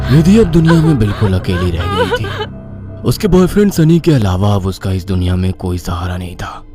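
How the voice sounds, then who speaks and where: A man narrating a scripted horror story in a dramatic style, as a storyteller. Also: on the low side. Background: prominent music.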